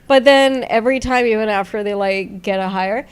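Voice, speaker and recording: A woman who talks in a low tone, chatting casually and rambling through thanks to people in an interview. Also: moderate pace, clear, resonant tone. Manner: low-toned, rambling, grateful shout-outs. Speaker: founder of a music site, interviewed.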